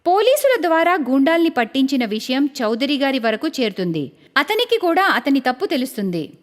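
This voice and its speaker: A woman narrating a cartoon moral story in a measured, clear storytelling manner. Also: sits high, very animated.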